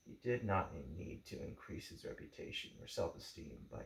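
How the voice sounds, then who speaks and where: Male amateur narrator, low gravelly voice, halting book reading in a roomy, echoing space. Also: sing-song, slight background noise. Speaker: amateur audiobook reader. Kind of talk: book introduction read aloud. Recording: roomy, echoing home recording.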